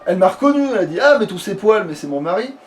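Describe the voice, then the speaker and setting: A man's voice: sits high, very animated, very fast. A man commenting casually on his game, with crude joking slang. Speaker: let's player. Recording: noisy home recording.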